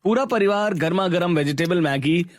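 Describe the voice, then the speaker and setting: A man's voice: high-pitched, moderate pace, faint music behind. A man narrating a scripted Hindi cartoon story.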